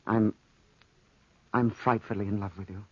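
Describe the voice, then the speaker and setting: A man's voice: lowish voice, little pitch movement, normal speed, husky, dry recording. A man playing a lovesick young soldier on the run in a 1940s radio drama, earnest, pleading and hesitant. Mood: wounded, pleading. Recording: muffled, dry 1940s radio recording.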